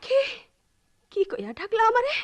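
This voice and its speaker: An actress playing a defiant, playful village wife with a rural Bangladeshi accent in an emotional, singsong radio drama style. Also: female voice, very high voice, wildly swooping pitch, moderate pace, loud music behind the voice, full character voice. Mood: playful, coy. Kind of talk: Bengali radio drama acting.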